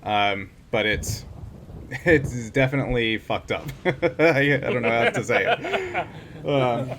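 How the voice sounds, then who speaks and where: A man casually narrating a tabletop role-playing game as game master, improvising haltingly as he goes. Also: mid-range voice, sing-song, background noise.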